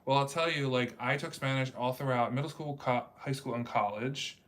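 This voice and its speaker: A man's voice: medium pitch, steady tone, normal speed, fairly bright tone. A man hosting a casual livestream chat, rambling in a talkative way with many fillers. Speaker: livestream host reading chat.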